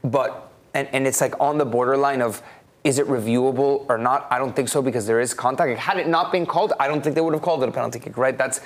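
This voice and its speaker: A man's voice: medium pitch, fairly even intonation, fast talker. Man, mid-range voice, soccer panelist, conversational sports commentary.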